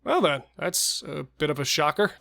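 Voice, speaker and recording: A man's voice: on the high side, big pitch swings, moderate pace, creaky, sharp tone, a little hiss or hum, heavily acted. A man playing a cautious but joking killer and robber who steadies his partner, in horror audio drama dialogue. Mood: wry. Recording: music and effects under the voice.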